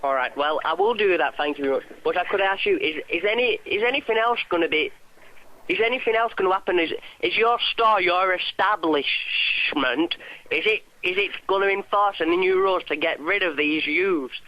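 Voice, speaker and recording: A man's voice: high voice, fairly quick, natural voice. A man voicing an indignant eighty-two-year-old man who grumbles, rambles and repeats himself on a prank phone call. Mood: grumbling. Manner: grumbling, rambling, repetitive, mildly sweary. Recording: muffled lo-fi phone line.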